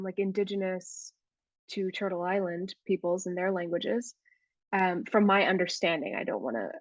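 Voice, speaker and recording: A woman's voice: fairly low pitch, no echo, lo-fi sound. A woman, a guest herbalist, talking in a casual podcast conversation, thoughtful and often restarting as she searches for words.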